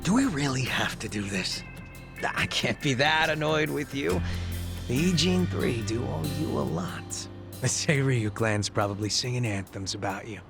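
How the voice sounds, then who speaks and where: A man voicing a weary gang leader, tough but soft-hearted, in a game cutscene. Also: mid-range voice, expressive, moderate pace, vocal fry. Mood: wry. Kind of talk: video game cutscene acting. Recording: game audio with music behind.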